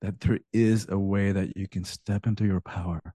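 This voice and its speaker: A man's voice: deep voice, steady tone, moderate pace, warm tone, no room sound. A man born in Cuba, an author talking as a podcast guest, earnest, often repeating words as he begins a thought. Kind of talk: casual interview talk.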